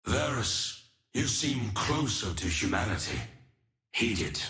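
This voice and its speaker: A noble fallen warrior of a fantasy game, solemn and immortal. His voice is fairly low and forceful, with swooping, theatrical declamation. Each phrase is weighed like a vow. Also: very animated, slow pace, echoey room, heavily acted. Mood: stern warning.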